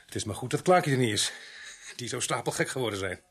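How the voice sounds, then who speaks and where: An actor playing a sardonic, bossy police sergeant in a radio play, brisk and commanding. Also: male voice, medium pitch, lively intonation, breathy edge. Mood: amused, musing. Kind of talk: radio drama acting.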